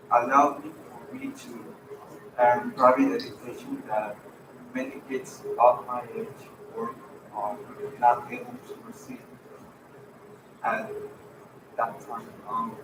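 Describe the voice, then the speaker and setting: A teenage boy from Peru whose first language is Spanish, speaking hesitantly at a public meeting. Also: mid-range voice. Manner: slow and hesitant, many fillers. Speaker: student speaker. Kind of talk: prepared remarks at a public meeting. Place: school board meeting room. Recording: echoey meeting room, distant mic, background noise.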